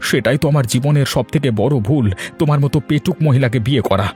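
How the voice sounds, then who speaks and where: A man acts out a broke, henpecked farmer husband from a cartoon folk tale. He talks quickly in a mid-range voice, grumbling and aggrieved. Light music runs behind. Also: mid-range voice, a little mellow, lightly acted. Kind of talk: cartoon fairy-tale voice acting.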